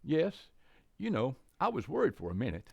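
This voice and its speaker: A very low, gravelly voice a woman playing a cocky young suitor in a radio comedy. The delivery is brash, chatty and eager, with lively swings. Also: moderate pace, no echo, muffled recording, exaggerated voice. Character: cocky, eager young man on a blind date. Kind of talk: radio comedy stage acting.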